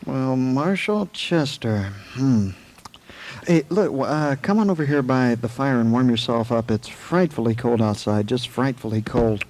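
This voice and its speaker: An actor playing a hospitable, fussy frontier schoolmaster in a radio Western, with a formal, hesitant, wordy manner. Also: male voice, mid-range voice, animated, a little slow, darkish tone, natural voice, low-quality recording. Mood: welcoming, flustered. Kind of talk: Western radio drama acting.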